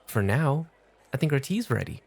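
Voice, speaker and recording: A man playing a cool, unhurried club host in an ASMR roleplay, speaking with firm, dry, measured teasing. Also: mid-range voice, big pitch swings, vocal fry.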